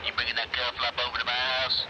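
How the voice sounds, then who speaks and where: An actor playing an easygoing neighbor, talking casually over a CB radio in a radio comedy drama. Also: male voice, medium pitch, completely flat delivery, fast talker. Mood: casual, matter-of-fact. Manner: casual, folksy, matter-of-fact. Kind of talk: old-time style radio comedy drama acting. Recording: noisy CB radio over vehicle sound.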